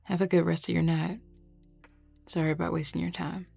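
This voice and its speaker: A woman's voice: on the low side, flat delivery, a little fast, vocal fry, darkish tone, slightly rough, soft background music, full character voice. A woman acting a flirtatious, teasing Southern werewolf in an audio roleplay, with a Southern US accent and a colloquial drawl. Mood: subdued.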